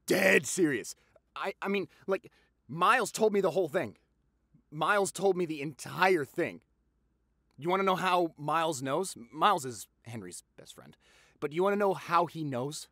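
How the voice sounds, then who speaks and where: A man playing a popular boyfriend eagerly telling gossip to his partner in a conversational audio roleplay, with dramatic pauses and repeats for emphasis. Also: a little high, lively intonation, pushed and bright, no room sound, studio quality, heavily acted. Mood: gossipy, excited.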